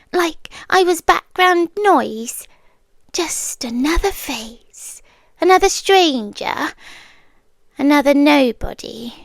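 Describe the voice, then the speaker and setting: A woman with a British accent playing a possessive, softly menacing yandere girlfriend in a hushed, intimate ASMR roleplay, close to the microphone. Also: high voice, no room sound, heavily acted. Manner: hushed, often whispering, deliberate pauses.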